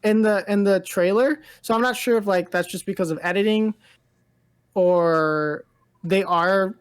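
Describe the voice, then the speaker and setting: A woman's voice: medium pitch, measured pace, smooth. A woman co-hosting a pop-culture podcast in casual chat, rambling and hedging as she talks informally. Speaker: podcast co-host.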